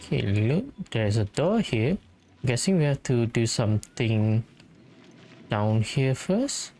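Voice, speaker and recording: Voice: mid-range voice, big pitch swings, slow, warm tone, slight background noise. A gamer doing casual commentary on their own playthrough, tentatively muttering and thinking aloud. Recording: game music under the voice. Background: video game music.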